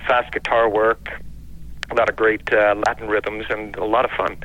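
A Canadian musician calling in by phone to a radio show, easygoing and laughing often. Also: male voice, lowish voice, level tone, normal speed, a bit bright, a little hiss or hum. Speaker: musician guest. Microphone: telephone. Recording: dull lo-fi phone line.

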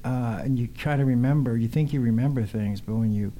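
A man's voice: medium pitch, a little fast, warm tone. An older man from Brooklyn chatting casually and reflectively as an interview guest, rambling a little. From Brooklyn, New York. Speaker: studio guest, musician.

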